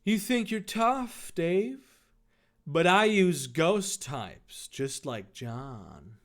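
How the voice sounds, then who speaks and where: A man's voice: a little high, expressive, extremely slow, full character voice. A man voicing a sultry, taunting female ghost monster who gloats in a story reading.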